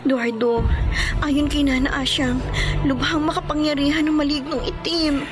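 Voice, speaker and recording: A woman's voice: high-pitched, little pitch movement, normal speed, lightly acted. A woman playing a frightened, anxious wife in a horror radio drama, full of worry. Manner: fearful, worried. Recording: dramatic music behind the voice.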